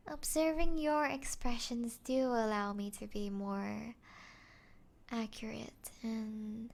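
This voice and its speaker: A woman voicing a seductive, mocking, possessive vampire in an ASMR roleplay, teasing and taunting in a breathy voice that slips into whispers. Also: a little high, full character voice. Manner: teasing, taunting, slow breathy delivery with whispers and soft chuckles.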